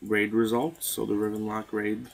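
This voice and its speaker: A man with a hoarse voice that is partly gone, talking casually through game results as a video host in a laid-back way with lots of "uh" and "you know". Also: lowish voice, little pitch movement, normal speed. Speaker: mobile game YouTuber.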